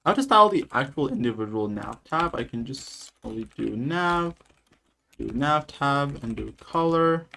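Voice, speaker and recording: A man's voice: medium pitch, vocal fry, fairly bright tone. A man from Nepal on a live coding stream, casually dictating each line of code and chatting with viewers. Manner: casual, talks through each step while typing.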